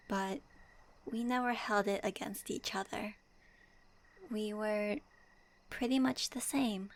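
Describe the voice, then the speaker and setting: Woman playing a slow-spoken, gentle young traveler heroine. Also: medium pitch. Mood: wistful, tender. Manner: soft, reflective, addresses listener directly. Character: gentle young female traveler swordswoman, thoughtful and wistful. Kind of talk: soft fan audio roleplay, ASMR.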